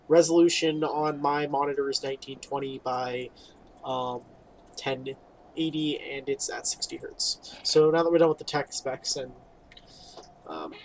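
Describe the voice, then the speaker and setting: A man's voice: on the high side, a little hiss or hum, poor audio. A man giving casual, rambling playthrough commentary on a video game, reading dialogue aloud with wry, informal asides. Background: game music.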